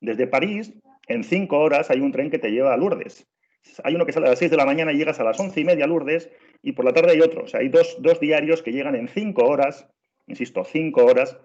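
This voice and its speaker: A middle-aged man from Spain speaks with a clear Spanish accent. He presents in an insistent way, repeats himself and restarts his sentences often. The sound is dull and lo-fi, as on a video call. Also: mid-range voice, animated, fairly quick, darkish tone. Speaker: train travel trainer. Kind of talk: webinar presentation.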